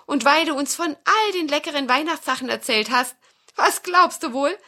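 A woman voicing a cheerful young boy in a children's fairy tale, high and raised. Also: expressive, normal speed, sharp tone. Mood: excited.

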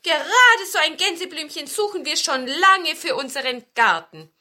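Lady doing little fairy tale dwarf, all excited and polite, high and bouncy. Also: moderate pace, exaggerated voice. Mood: pleased. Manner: high, forceful, excited delivery. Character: tiny garden dwarfs, excitable, polite and grateful.

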